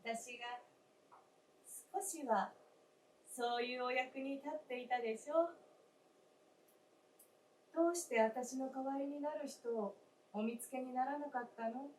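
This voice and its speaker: Woman acting a high, slow-speaking wistful ghost wife. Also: a little mellow. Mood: gently reproachful. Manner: polite old-fashioned feminine speech, slow and deliberate. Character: gentle, wistful spirit of a dead wife, a snow figure. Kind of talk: staged radio drama acting. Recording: roomy stage, distant mic, faint noise.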